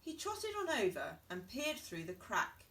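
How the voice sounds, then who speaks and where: A woman reading a rhyming children's bedtime story in an expressive narrating style. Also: medium pitch, unhurried, distant mic.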